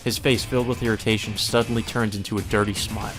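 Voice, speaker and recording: A man's voice: on the low side, flat delivery, fast. A man reading visual novel narration aloud as a let's play host. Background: faint music.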